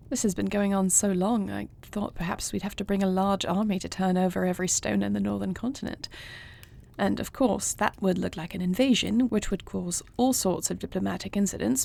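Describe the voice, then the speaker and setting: A woman playing a tender, regal dragon queen in a romantic audio roleplay, with soft, formal and affectionate delivery. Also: medium pitch. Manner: soft, formal phrasing, affectionate, often breathy. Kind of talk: romantic audio roleplay, intimate scripted acting.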